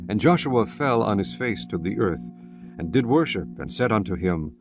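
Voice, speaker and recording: A man narrating scripture in measured, steady King James English. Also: fairly low pitch. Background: guitar music.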